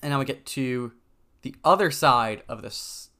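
A man hosting a solo podcast, explaining in a conversational way with frequent ums and pauses. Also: mid-range voice, expressive, pushed and bright. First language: English. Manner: conversational, frequent ums, stumbles over foreign names. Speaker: podcast host. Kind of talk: solo educational podcast talk.

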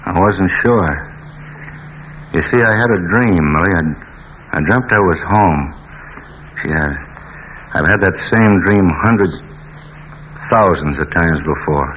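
A man's voice: sits low, a little slow, long pauses, slight background noise. An actor playing a genial, restless, hard-drinking husband and father home from war, in a 1940s radio drama style. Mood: groggy, wistful. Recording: tinny old radio broadcast, faint music.

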